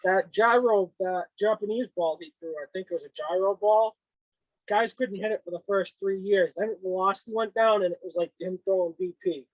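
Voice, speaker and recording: A man with a Boston accent speaks in a notably high register. The sound is very dry, with little room in it. He chats casually about sports, like a show co-host. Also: moderate pace, dry recording, low-quality recording. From Boston, northeastern US. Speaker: co-host of a cap and card show.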